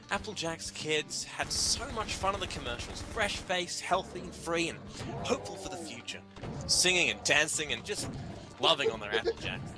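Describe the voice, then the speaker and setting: A man giving a theatrical, comedic dramatic reading of a humorous article to friends, prone to breaking up as he reads. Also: fairly high pitch. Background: music.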